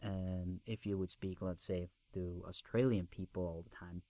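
A man's voice: sits low, steady tone, moderate pace, dark tone, no room sound. A man from Belgium whose first language is Dutch, speaking English modestly as a podcast guest and often repeating words while he thinks. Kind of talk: casual interview chat.

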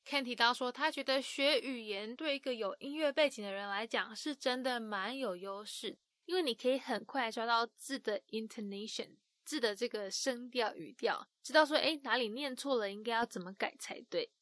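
A woman's voice: a little high, moderate pace, hardly any pauses, fairly bright tone, dry recording. A woman narrating and explaining an interview clip in a friendly, encouraging way. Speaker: podcast host explaining the clip. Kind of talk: scripted narration.

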